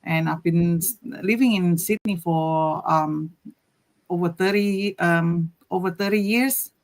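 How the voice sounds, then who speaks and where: A woman from Indonesia in her fifties, a guest on a live panel, speaking hesitantly with many pauses and "um"s. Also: fairly low pitch, steady tone, darkish tone. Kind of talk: casual panel chat.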